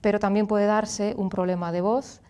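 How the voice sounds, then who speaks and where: A woman in her thirties with a Galician Spanish accent, a speech therapist explaining clearly and patiently as a guest in a TV studio. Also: medium pitch, level tone, moderate pace, a little mellow. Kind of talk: explanatory interview answers.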